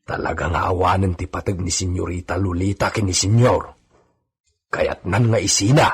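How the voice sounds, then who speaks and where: Man acting a male stable hand, Ilocano accent, low husky voice, gossipy, obedient, radio drama. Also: steady tone, moderate pace, heavily acted. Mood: gossipy, concerned.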